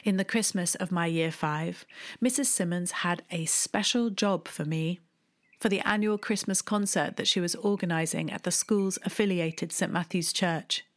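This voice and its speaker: A woman, telling a personal memoir in a wry, deliberate way, with hushed asides. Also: on the low side. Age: 30s. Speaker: storyteller. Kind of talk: memoir storytelling.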